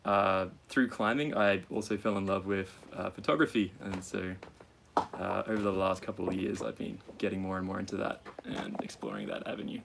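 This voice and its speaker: A young Australian man introduces himself in an easygoing way, pausing often on fillers. His voice is low and gravelly, with faint background noise. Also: expressive. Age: 20s. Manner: easygoing, many filler pauses. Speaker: new crew member, nurse. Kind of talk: casual self-introduction.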